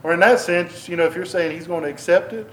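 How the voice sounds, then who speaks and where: A man's voice: high voice, fast talker, slight background noise, rough recording. A man preaching a conversational sermon into a microphone, restarting phrases and pressing questions at his listeners. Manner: conversational, repeats and restarts, rising emphasis on questions. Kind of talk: sermon, Bible teaching. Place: church building.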